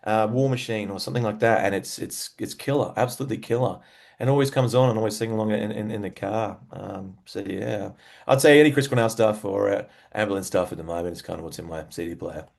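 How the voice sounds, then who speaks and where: A man's voice: on the low side, fast talker, vocal fry, a little mellow. A man with an Australian accent chatting casually as an interview guest, telling stories in a laid-back, slangy way with enthusiasm for music. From Western Australia.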